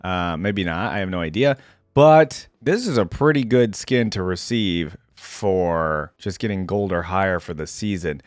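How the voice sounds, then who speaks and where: A man's voice: deep voice, lively intonation, a little slow, dry recording, studio quality. A man hosting a reaction video, speaking informally as an opinionated fan, moving between casual commentary and reading aloud.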